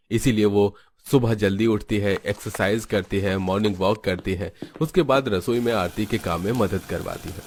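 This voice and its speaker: A man's voice: fairly low pitch, a little hiss or hum. A man reading scripted narration for a family cartoon story.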